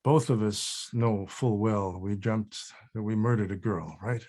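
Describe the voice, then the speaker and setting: An actor playing a laid-back but troubled man on a film set, deadpan and incredulous. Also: lowish voice, unhurried.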